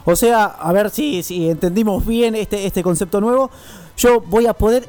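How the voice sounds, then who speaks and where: A man's voice: sits high, normal speed, a little mellow, soft background music. A man with an Argentine accent interviewing casually on the radio, informal and thinking aloud as he rephrases. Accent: Rioplatense Argentine.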